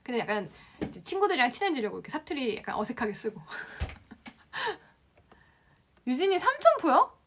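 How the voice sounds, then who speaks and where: A woman's voice: high-pitched, sing-song, moderate pace, pauses often, fairly bright tone, slight background noise. A young woman from the Gyeongsang region teaching Instagram, answering viewer comments on a casual live stream and laughing often. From Gyeongsang province, Korea.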